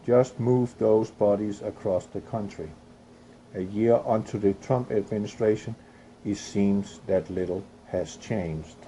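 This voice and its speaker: European guy reading news out loud, stumbles and restarts, low mellow voice. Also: slight background noise, low-quality recording. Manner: halting reading with restarts and coughs. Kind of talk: reading news aloud with opinionated commentary.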